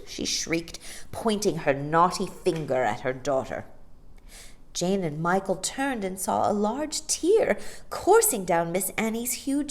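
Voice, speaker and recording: Very animated, slow-paced woman storyteller. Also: lowish voice. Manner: theatrical, deliberate. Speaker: storytime reader. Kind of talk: expressive scripted storybook narration.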